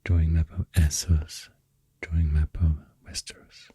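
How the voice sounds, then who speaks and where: A man with a Swedish accent rambles in soft-spoken, near-whispered ASMR, gentle and unhurried, close to the microphone. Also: little pitch movement, no echo. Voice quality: breathy, near-whispered deep voice. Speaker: ASMR video host.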